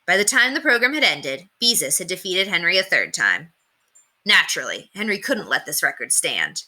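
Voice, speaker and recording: A woman reads a children's story aloud in a very bright, crisp-edged voice. Her pitch sits a little low but moves in lively, expressive swings. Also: moderate pace. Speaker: read-aloud narrator. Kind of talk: scripted children's story narration.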